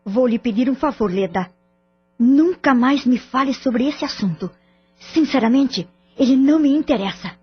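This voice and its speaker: A woman's voice: high-pitched, animated, moderate pace, husky, muffled recording, heavily acted. A Brazilian woman playing a proud, wounded middle-aged wife and mother in an audio drama. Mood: cold, firm. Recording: band-limited recording with music bed.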